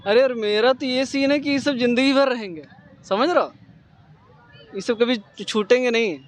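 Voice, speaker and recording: Young male student, very high voice, playful and chatty, outdoors. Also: normal speed. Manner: jokey, casual, keeps asking "you get it?".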